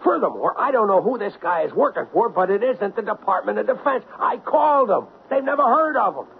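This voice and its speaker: A man's voice: high-pitched, animated, a little fast, vocal fry. A man playing an impulsive, defiant cop on the run in a 1970s radio drama, talking urgently and insistently. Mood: indignant, alarmed. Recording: dull, faintly noisy 1970s radio recording.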